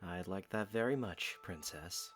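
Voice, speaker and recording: A man's voice: deep voice, expressive, a little slow, a bit bright, faint music behind, heavily acted. A man playing a loyal young soldier in an audio drama, blunt, protective and earnest. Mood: gentle, devoted. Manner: earnest, direct.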